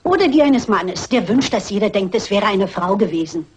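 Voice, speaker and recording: An older woman playing a shrewd, persistent amateur sleuth in a radio crime play, husky, polite but insistent and wry. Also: mid-range voice, animated, normal speed, a little hiss or hum, close to an everyday voice. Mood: probing.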